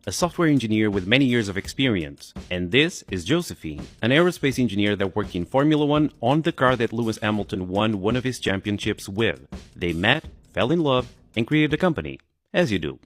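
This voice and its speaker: A man's voice: on the low side, big pitch swings, brisk, slight background noise. A man presenting a scripted engineering explainer in an enthusiastic, conversational way, with playful asides and rhetorical questions.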